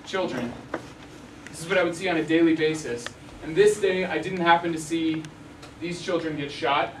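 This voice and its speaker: A young man gives a relaxed talk in a university hall, filling gaps with ums and restarting sentences. His voice is slightly high. It is picked up from far off with plenty of room echo and steady background noise. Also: moderate pace. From Arizona, USA. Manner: casual, many ums and restarts. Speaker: journalist giving a talk. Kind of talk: lecture with slides. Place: university lecture hall, indoors. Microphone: room mic at a distance. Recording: echoey hall, mic far off, noisy.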